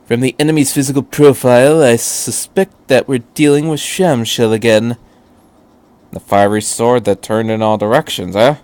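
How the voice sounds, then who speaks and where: A man voicing a dry, older male military vice commander in a fan story, measured and authoritative. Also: medium pitch, steady tone, darkish tone, no room sound, lightly acted. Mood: wry.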